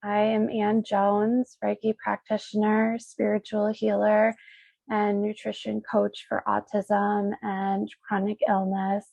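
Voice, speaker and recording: A woman leading a guided meditation as a Reiki practitioner, speaking soothingly in short, unhurried phrases with pauses. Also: mid-range voice, completely flat delivery, vocal fry, a little mellow, no room sound.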